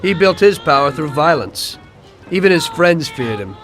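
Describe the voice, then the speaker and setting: A man's voice: on the high side, very animated, moderate pace, music in the background. An actor playing a world-weary mobster who narrates his past matter-of-factly in a crime video game. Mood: matter-of-fact, reflective. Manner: plain-spoken, matter-of-fact.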